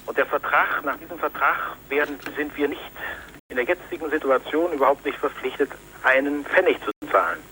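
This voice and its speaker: A man's voice: a little high, lively intonation, a little fast, pushed and bright. A man, a magazine editor, speaking firmly and defensively over the phone, hesitating often with ums. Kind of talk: phone interview. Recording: tinny phone line with faint noise.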